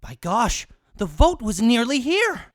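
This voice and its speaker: A woman's voice: a little high, sing-song, takes it slowly, no room sound, clean studio sound. A woman narrating a rhyming storybook tale in a theatrical manner.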